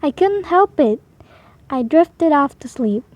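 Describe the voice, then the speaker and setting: A woman reading a bedtime story aloud to children in an expressive, animated way. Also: high-pitched, slow, muffled recording. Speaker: storybook reader.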